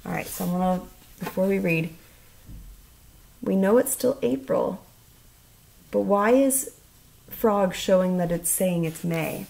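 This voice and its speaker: A woman teacher reading a storybook aloud to children, expressive and instructional, asking questions as she goes. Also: mid-range voice, a little slow, gravelly, long pauses, low-quality recording. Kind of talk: storybook read-aloud with questions to young listeners.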